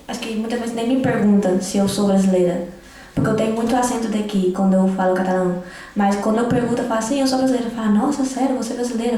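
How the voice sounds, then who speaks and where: A teenage girl from Brazil speaks quickly and with life on a panel, acting out the remarks people make to her. Her voice rings in an echoey hall. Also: on the high side. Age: teens. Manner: animated, acts out quoted remarks. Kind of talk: casual panel talk.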